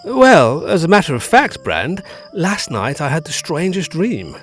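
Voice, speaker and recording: Bold, inquisitive period gentleman male actor, highish voice with hugely swooping intonation, theatrical audio drama. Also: very animated, unhurried, faint music behind. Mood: wondering storytelling. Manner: theatrical, old-fashioned, inquisitive. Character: bold, curious gentleman friend, fascinated by ghosts. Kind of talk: period ghost story audio drama acting.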